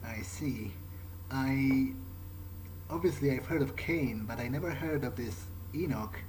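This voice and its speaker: A man improvising in character as he plays a video game, conversational, halting and thinking aloud. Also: mid-range voice, darkish tone, a little hiss or hum, rough recording. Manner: hesitant, musing, halting. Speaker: gamer playing in character.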